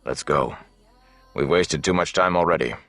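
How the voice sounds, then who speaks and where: A man voicing an old, gruff, world-weary travelling minstrel for a fantasy audiobook, with a deep gravelly voice. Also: moderate pace, a bit bright, dry recording, faint music behind. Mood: brisk, gruff. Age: older.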